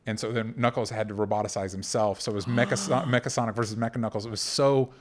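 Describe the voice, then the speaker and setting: A man's voice: fairly low pitch, steady tone, fast, a little hiss or hum. A man talking casually and enthusiastically, like a geeky fan co-hosting a pop culture chat show. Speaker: talk show co-host.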